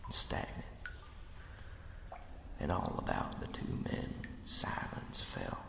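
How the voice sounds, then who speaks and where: A man narrating a ghost story as ASMR in a hushed, slow, dramatic whisper with a breathy, gravelly edge. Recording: echoey, long cavernous reverb.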